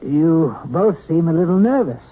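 An actor playing a calm, polite hypnotherapist in a 1970s radio drama, explaining things in a measured, deliberate way. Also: male voice, fairly high pitch, slight background noise, natural voice. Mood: polite, hesitant. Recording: tinny, band-limited old radio broadcast.

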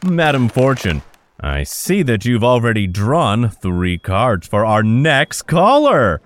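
A man's voice: mid-range voice, huge pitch swings, takes it slowly. A man playing a smarmy, theatrical radio telethon host. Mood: showy, upbeat. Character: showy male telethon host on a radio broadcast. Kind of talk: theatrical broadcast presenting.

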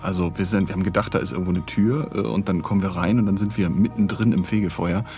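A man's voice: deep voice, steady tone, fast talker, a little mellow, faint music behind. A man hosting a podcast, retelling things in a casual, humorous, chatty way. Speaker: podcast host.

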